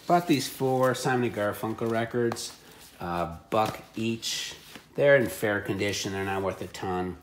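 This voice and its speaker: A man with a notably low voice talks casually, like a reseller going through a haul. His delivery is offhand and wry, with dry joking asides. There is a little background noise around the voice. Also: measured pace, reverberant. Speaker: reseller showing secondhand finds. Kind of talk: casual chat.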